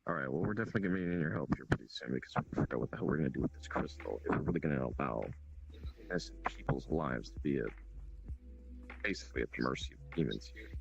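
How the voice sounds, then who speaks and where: A man in a voice-chat roleplay talks casually and with energy, his very deep voice gravelly and swinging widely in pitch. The line sounds dull and lo-fi, with faint music behind it. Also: lively intonation, rapid-fire. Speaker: roleplay player.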